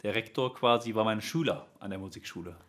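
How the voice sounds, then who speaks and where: Male teacher, guest spot, low voice, rambling casual delivery with lots of fillers. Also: fast, fairly bright tone. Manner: rambling, many fillers and restarts. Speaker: music and Dutch teacher, guest. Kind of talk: casual conversational interview.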